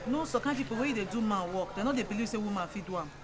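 A woman's voice: medium pitch, expressive, racing, a crowd in the background. A woman with a Nigerian accent conducting a casual, probing interview in Pidgin as host. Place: outdoor motorcycle repair yard.

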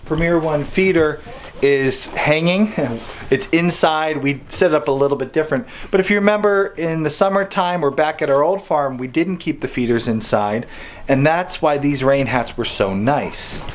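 A man's voice: on the high side, normal speed. Upbeat man demoing farm gear. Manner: upbeat, chatty, enthusiastic, with some stumbles. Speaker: homesteading channel host and father. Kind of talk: casual product demo vlog. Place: wooden barn and outdoor farmyard. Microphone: handheld camera at arm's length.

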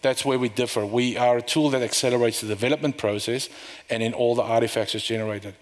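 Middle-aged guy demoing software on stage, rambly with lots of ums, mid pitch, long hall reverb. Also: fairly even intonation, quick pace. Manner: loose, rambling explanation with frequent fillers and restarts. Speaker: conference speaker. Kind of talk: live software demo talk. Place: conference room.

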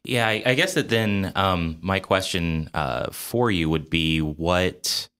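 A man's voice: sits low, takes it slowly, fairly bright tone, clear, resonant tone. A man hosting a casual podcast discussion about games, talking in a hesitant, wordy, circling way. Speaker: podcast host.

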